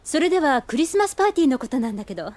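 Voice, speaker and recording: High-voiced, prim, strict schoolgirl. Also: big pitch swings, rapid-fire, a little mellow, no room sound. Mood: businesslike. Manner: formal, prim. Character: proper, strict, refined upper-class teenage schoolgirl. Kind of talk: anime audio drama acting.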